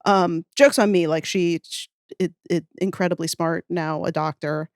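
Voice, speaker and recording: A woman's voice: fairly low pitch, fairly even intonation, moderate pace, no room sound, clean studio sound. A woman chatting casually as a podcast guest, reminiscing in an enthusiastic, rambling, self-deprecating way. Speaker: podcast guest, audio fiction writer.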